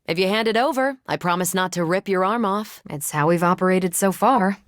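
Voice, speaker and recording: An actress voicing a confident, wry, tough but kind superhero soldier in a video game, with an American accent and a dry, casual delivery. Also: female voice, lowish voice, fairly quick, no room sound, close to an everyday voice. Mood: teasing, then serious, sincere. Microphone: close, dry studio microphone.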